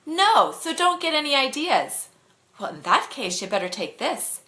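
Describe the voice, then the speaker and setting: A woman's voice: high voice, sing-song, moderate pace, pushed and bright, pitched above the performer's own voice, full character voice. A woman voicing a cocky, mischievous teenage boy who drives a cab, in a bedtime storybook reading, with a teasing, boastful way of talking.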